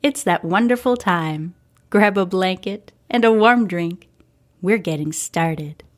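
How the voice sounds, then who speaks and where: A woman's voice: on the low side, slow pace. A woman hosting and narrating a serialized story podcast, reading in storybook style. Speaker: host and narrator. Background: music.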